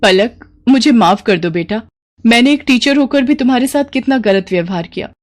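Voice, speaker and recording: A woman's voice: fairly high pitch, darkish tone, natural voice. A formal, authoritative lady class teacher in a storybook tale, put on by a woman narrator. She addresses her pupils plainly and firmly. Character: blunt female school class teacher.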